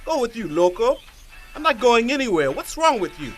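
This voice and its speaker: A man's voice: very high voice, big pitch swings, moderate pace, soft background music. A man with a Caribbean accent playing a feisty, indignant gardener and doting father in a stage drama. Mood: indignant, shocked.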